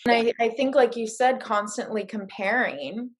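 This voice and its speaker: A woman's voice: fairly high pitch, normal speed. A woman hosting a casual interview, encouraging and affirming her guest. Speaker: podcast host.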